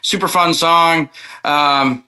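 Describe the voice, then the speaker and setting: A man's voice: on the high side, steady tone, slow, bright, forceful tone. A man chatting casually as an interview guest, in a laid-back, informal way. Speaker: country singer-songwriter being interviewed. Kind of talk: casual chat.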